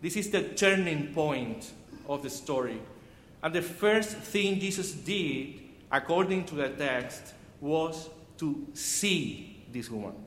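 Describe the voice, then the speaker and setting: A man from Cuba with a Cuban Spanish accent, whose first language is Spanish, preaching a sermon in an earnest, measured way and often restarting words. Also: a little high, expressive, a bit bright, slight background noise. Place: church sanctuary. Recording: echoey hall from far off.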